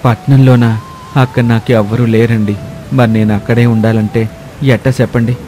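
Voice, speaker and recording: A man's voice: mid-range voice, flat delivery, moderate pace, dark tone, soft background music, close to an everyday voice. A man playing an honest, humble poor village husband who speaks earnestly and respectfully in a story. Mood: worried.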